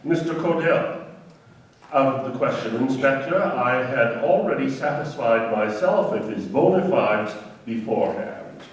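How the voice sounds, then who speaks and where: A man's voice: medium pitch, animated, unhurried, slight background noise, close to an everyday voice. A man playing an indignant, formal pearl merchant in a radio play, emphatic and theatrical in his delivery. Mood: adamant. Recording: very echoey, distant from mic.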